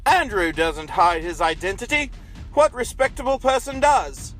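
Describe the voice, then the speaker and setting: A man's voice: high-pitched, lively intonation, moderate pace, a bit bright, faint music behind. A man playing a friendly, chatty local in an audio drama. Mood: breezy.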